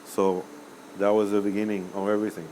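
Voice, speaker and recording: An older man from Cuba speaks English. His voice sits a little low, and he talks in a rambling, hesitant way, full of uhs and restarts. A faint hiss sits behind him. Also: little pitch movement, brisk, a little mellow, low-quality recording. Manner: rambling, hesitant with many uhs and self-corrections. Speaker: musician guest. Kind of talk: interview answers.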